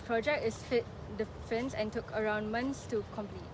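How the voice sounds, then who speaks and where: A woman's voice: fairly high pitch, normal speed. A woman presenting a documentary from a script. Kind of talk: scripted narration. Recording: outdoor recording with clear traffic noise. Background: urban outdoor ambience, vehicles.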